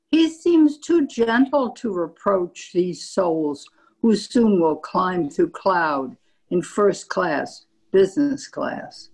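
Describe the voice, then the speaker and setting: A woman reading a poem aloud in a measured recital style at a group reading. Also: mid-range voice, a little mellow, slightly rough, rough recording. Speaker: poetry reader at a group reading.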